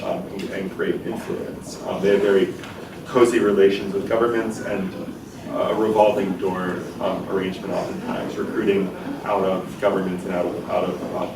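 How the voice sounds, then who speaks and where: A man speaking on a panel in a measured way, with frequent ums. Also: fairly low pitch. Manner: measured, hesitant with many ums. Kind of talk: panel talk. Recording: distant, roomy, noisy live recording.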